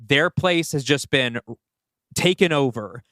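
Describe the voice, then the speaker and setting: A man's voice: medium pitch, slow, fairly bright tone, dry recording, clean studio sound. A man co-hosting a podcast, casually talking through ideas and explaining his thinking aloud. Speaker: podcast co-host and writer. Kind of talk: casual collaborative discussion. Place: indoors, two people seated across from each other.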